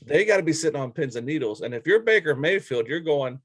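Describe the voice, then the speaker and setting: Male sports co-host, higher-pitched voice, close dry sound, easy conversational banter. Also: lively intonation, quick pace, no echo. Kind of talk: casual sports talk banter.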